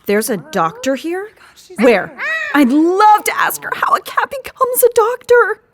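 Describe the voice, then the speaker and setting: A woman's voice: very high voice, huge pitch swings, measured pace, crowd noise, full character voice. A woman playing a brash, pushy, street-smart cat in an audio drama, bossy, theatrical and emphatic. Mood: mock surprise.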